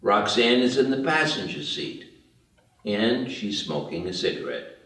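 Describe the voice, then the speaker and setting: Guy reading out script directions slowly, mid pitch, big echo, far off mic. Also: expressive, sharp tone. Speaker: narrator of screenplay table read. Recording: very echoey room, distant mic.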